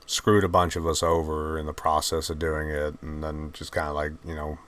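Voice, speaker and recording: A man with a mixed Virginian and Georgian Southern accent, hosting his channel and talking in a subdued, halting, heartfelt way. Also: rumbling low, flat delivery, fairly bright tone. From Virginia, USA. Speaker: channel host. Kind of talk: reflective personal talk to camera.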